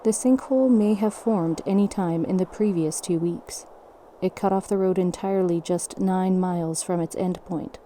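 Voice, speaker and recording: A woman playing a factual report reader in an audio drama, narrating in a measured, formal, impersonal way. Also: lowish voice, warm tone, a little hiss or hum, lightly acted. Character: factual female narrator reading a sinkhole report.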